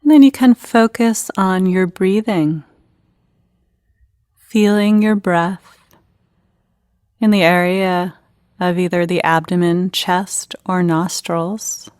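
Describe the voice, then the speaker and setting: A woman leading a guided meditation in an unhurried, gentle, instructional manner with pauses between phrases. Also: lowish voice, fairly even intonation, no echo, clean studio sound.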